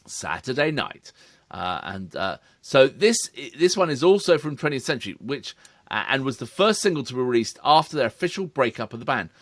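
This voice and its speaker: A man's voice: mid-range voice, big pitch swings, moderate pace, a bit bright, no room sound, studio quality. A middle-aged man, co-hosting a reaction video in casual chat, reading out notes with asides. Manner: chatty, reads background notes with asides.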